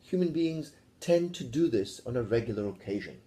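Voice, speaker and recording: A man's voice: mid-range voice, lively intonation, normal speed, warm tone. A Scottish man teaching a casual video English lesson, joking and teasing his colleague as he talks. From Scotland. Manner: playful, teasing, jokey asides.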